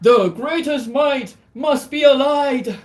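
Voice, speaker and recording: A humble storybook sun played by a woman laments being powerless. The rhyme comes out high and slow, with dramatic, drawn-out words. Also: darkish tone. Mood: helpless, dramatic. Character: personified sun, apologetic, humble, powerless against clouds. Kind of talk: picture-book read-aloud in rhyme.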